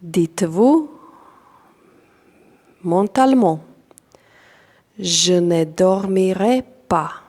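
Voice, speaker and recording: A woman with a non-native accent in French leads a guided yoga nidra meditation as instructor, calm and deliberate, in short phrases with long pauses. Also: fairly low pitch.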